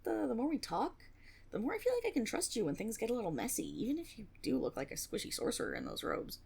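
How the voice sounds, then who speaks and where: A woman's voice: a little high, huge pitch swings, quick pace, a little mellow, full character voice. A woman playing an eager, nervous young female tiefling rogue in an intimate fantasy audio roleplay, rambling and stammering with a teasing streak. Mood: playful, warming. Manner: nervous rambling with stammers, sighs and breathy giggles.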